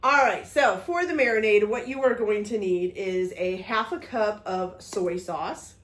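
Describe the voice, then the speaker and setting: A woman's voice: medium pitch, unhurried, a bit bright. Chatty woman with a cold-touched voice. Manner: chatty, talks through each step, frequent "okay?" check-ins. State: recovering from a cold, voice a bit off. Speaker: cooking vlog host. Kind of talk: casual cooking demonstration. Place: home kitchen. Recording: echoey kitchen room.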